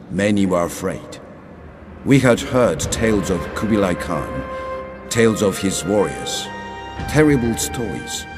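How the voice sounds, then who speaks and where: An actor playing a solemn, proud narrator of samurai history, giving dramatic, emphatic video game cutscene narration. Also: male voice, on the low side. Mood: solemn pride.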